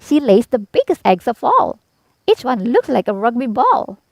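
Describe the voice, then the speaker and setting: A storybook goose, cunning and knowing, put on by a woman reader. She persuades with a raised, animated voice, recorded dry. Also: on the high side, moderate pace, vocal fry, dry recording, pitched above the performer's own voice, heavily acted. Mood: sly, persuasive. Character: cunning female goose fooling thieves. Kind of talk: storybook character voice.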